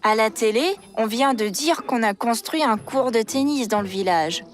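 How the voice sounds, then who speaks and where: A woman's voice: on the high side, expressive, normal speed, natural voice. A woman voicing a boastful, evasive would-be sports champion in a French children's cartoon, with a drawling, self-important manner. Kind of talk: French children's animated series dubbing. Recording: music behind the voice.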